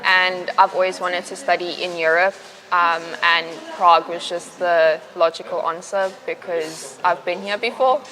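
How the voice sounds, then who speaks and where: A young woman from South Africa with a South African accent, a university student answering interview questions in a relaxed, chatty way with many fillers. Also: on the low side, a little slow, low-quality recording. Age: young adult.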